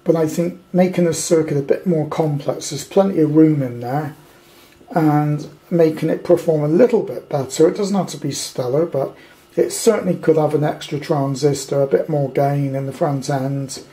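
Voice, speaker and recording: Higher-pitched, mellow British man, rambling. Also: moderate pace. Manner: rambling, blunt, colloquial. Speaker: amateur radio hobbyist reviewing a kit. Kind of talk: casual off-the-cuff product review. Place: indoors at a tabletop.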